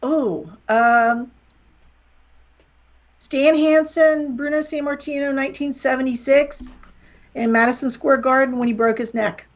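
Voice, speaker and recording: A woman's voice: sits high, fairly even intonation, a little slow, pauses often. A middle-aged woman from West Virginia with a slight Southern accent, talking candidly and rambling a little as a guest on a podcast interview. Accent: slight Southern American. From West Virginia, USA. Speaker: guest, wrestling promoter and author.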